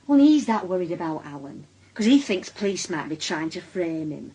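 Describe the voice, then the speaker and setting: A woman's voice: fairly low pitch, very animated, normal speed, warm tone, breathy edge, full character voice, poor audio. An actress playing an anxious, defensive working-class mother with a Northern English accent in a naturalistic radio drama, speaking hesitantly and evasively. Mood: confiding, evasive.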